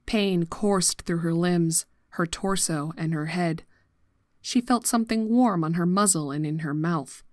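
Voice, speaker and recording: A woman narrating a scripted horror story in a measured, dramatic manner. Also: on the low side, dry recording, studio quality.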